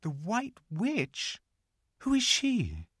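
A woman's voice: mid-range voice, wildly swooping pitch, very slow, husky, no echo, studio quality, voice pushed up, heavily acted. A woman voicing an earnest, kind-hearted, anxious little girl in a children's storybook, polite and emphatic. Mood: earnest, concerned.

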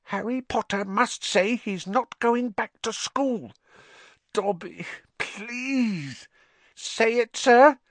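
A man's voice: takes it slowly, no room sound, heavily acted. A man voicing a small anxious male house-elf who pleads and speaks of himself in the third person. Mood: desperate. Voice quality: very high, squeaky.

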